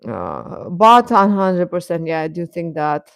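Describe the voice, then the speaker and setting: A woman hosting a casual fan livestream, rambling and halting as she replies to comments, often with a chuckle in her talk. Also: on the low side, sing-song, creaky, dry recording, studio quality. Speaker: host of a K-pop fan channel.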